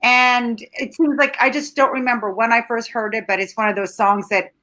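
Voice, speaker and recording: Woman, Canadian-raised, Ukrainian native speaker, film critic, bright forceful tone, fairly high, candid. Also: normal speed. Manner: animated, candid, opinionated. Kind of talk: casual film review chat.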